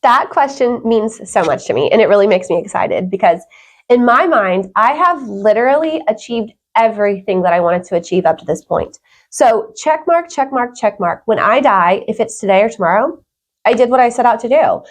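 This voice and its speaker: Female interview guest, slightly high-pitched, conversational, sincere and emphatic delivery. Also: animated, moderate pace. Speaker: podcast guest. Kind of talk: casual interview chat.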